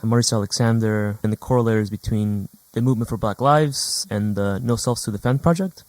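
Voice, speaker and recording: A man co-hosts a radio program, reading prepared questions and narration quickly. His voice sits at a medium pitch. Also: darkish tone, no echo. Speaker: radio show co-host and interviewer.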